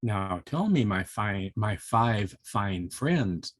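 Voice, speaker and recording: A grave ruler of the mountain animals from a children's storybook, acted out by a man reading aloud. The voice is commanding and husky, recorded close and dry. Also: on the low side, very animated, slow pace, no echo, pitched below the performer's own voice, heavily acted. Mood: inquiring. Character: grave, commanding male mountain monarch of the high rocks.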